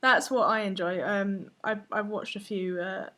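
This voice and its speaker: A woman's voice: medium pitch, steady tone, measured pace, pushed and bright. A woman hosting a live painting stream, chatting casually as she works, laughing often and sometimes losing her thread. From Finnish family. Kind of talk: casual chat while painting.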